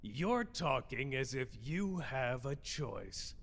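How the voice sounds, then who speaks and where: A scheming, self-important official acted by a man speaks in a gravelly, husky voice with formal menace. He is a villain in an anime audio drama, heard through long hall reverb. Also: mid-range voice, sing-song, slow, a bit bright, exaggerated voice. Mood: smug, threatening. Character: smug, threatening male villain, scheming sorcery official, cowardly underneath.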